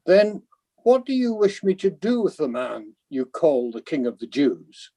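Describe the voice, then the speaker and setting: A man's voice: on the high side, sing-song, unhurried, mellow, exaggerated voice. A man playing a questioning Roman governor in a dramatic scripture reading, with a declamatory delivery. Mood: challenging. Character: Roman governor Pilate, questioning an accused prisoner and a crowd.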